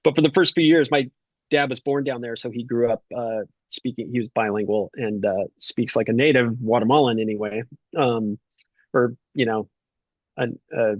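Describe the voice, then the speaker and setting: A man's voice: medium pitch, steady tone, moderate pace, no echo, dull audio. A middle-aged man talking casually as a podcast guest, rambling with lots of fillers and restarts. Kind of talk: casual conversation.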